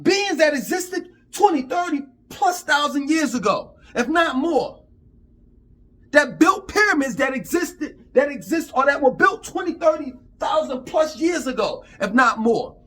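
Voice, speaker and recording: A man's voice: extremely high pitch, bright, forceful tone, rough recording. A man in his fifties giving an emphatic, profane, preachy rant to camera in street talk. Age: 50s. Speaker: vlogger.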